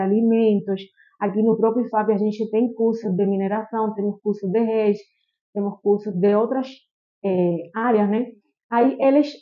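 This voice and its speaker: A woman from Cuba with a Cuban Spanish accent, a chemistry professor giving measured, explanatory answers full of small fillers as a podcast guest. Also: a little high. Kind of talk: podcast interview. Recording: tinny phone-like line.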